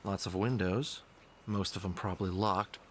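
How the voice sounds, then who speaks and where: A man's voice: sits low, fairly even intonation, moderate pace, a little hiss or hum, natural voice. A man playing a wry fox adventurer in an audio drama, talking to himself with dry understatement. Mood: wary, wry. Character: wry male fox adventurer, sneaky, self-narrating, improvising. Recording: loud music under the voice.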